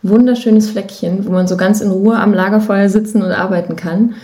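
A woman's voice: mid-range voice, fairly even intonation, a little fast, warm tone. A woman talking casually and informally as a musician guest in an interview, with an enthusiastic manner. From Germany. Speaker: podcast guest, musician and singer.

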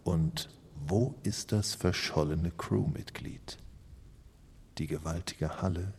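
A man's voice: a bass voice, darkish tone, slightly rough, heavily acted. A man playing an ominous, suspenseful narrator in a haunted house radio play, dropping at times into whispers. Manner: slow, suspenseful, partly whispered.

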